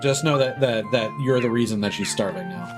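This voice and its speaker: A man's voice: medium pitch, brisk. A man doing casual, joking, sweary commentary on a gaming livestream. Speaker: game streamer. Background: game music.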